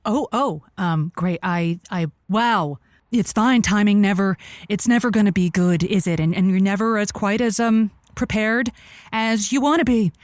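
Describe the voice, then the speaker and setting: An actress plays a woman hosting a call-in advice show in an audio comedy drama. She rambles and stammers earnestly, dispensing grand, sentimental wisdom in a mid-pitched voice. The sound is dry and close. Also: normal speed, no echo, close to an everyday voice. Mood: flustered, earnest.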